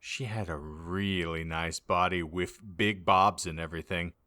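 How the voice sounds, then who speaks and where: A man with a fairly low, forceful voice narrates a fan-fiction story for comedy. He takes it very slowly and reads every misspelled word exactly as written. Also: steady tone. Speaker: podcast host reading as narrator.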